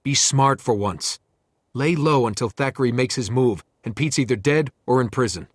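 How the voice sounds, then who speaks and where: An actor playing a determined, loyal young streetwise hero in a video game, with a husky voice. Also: male voice, medium pitch, moderate pace, no echo, clean studio sound, close to an everyday voice. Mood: urgent persuading. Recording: music behind the voice.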